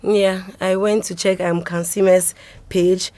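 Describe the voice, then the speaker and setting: A young adult woman with a Nigerian accent, talking casually as an interview guest and telling stories in an animated way. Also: medium pitch, slow pace, no echo.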